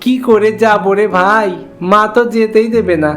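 A man's voice: high-pitched, steady tone, moderate pace, fairly bright tone, soft background music. A man voicing a timid, wheedling village schoolboy in a cartoon story, hesitant and pleading. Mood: worried.

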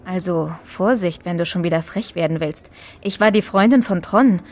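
An actress playing a feisty, sharp-tongued young woman in an audio drama, sassy and commanding. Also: fairly low pitch, normal speed, faint music behind, lightly acted. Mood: mocking.

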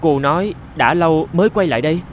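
A man voicing a poor, earnest teenage boy with big dreams in an animated moral tale, speaking with dramatic emotion. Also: fairly high pitch, quick pace, slight background noise, natural voice. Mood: wistful, eager.

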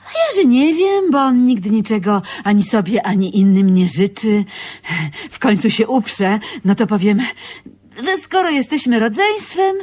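An actress in a Polish radio drama playing a nostalgic, sharp-tongued older seamstress who is wistful and weary. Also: female voice, a little high, lively intonation, measured pace. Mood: wry.

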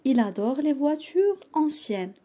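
High-voiced woman reading in careful phrases. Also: sing-song, measured pace, mellow. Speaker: French teacher. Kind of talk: reading a text aloud and translating it line by line.

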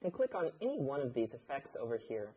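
A man, narrating a scripted tutorial in a measured, instructional way. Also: mid-range voice, sing-song, mellow, slightly rough, lo-fi sound. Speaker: audio engineer, instructor. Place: indoor studio, seated at a desk.

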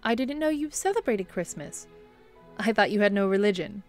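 An actress playing a kindly, long-suffering bishop's wife in earnest, gentle period drama style. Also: female voice, medium pitch, animated, moderate pace, faint music behind, close to an everyday voice. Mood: polite, concerned. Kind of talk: period radio-drama acting.

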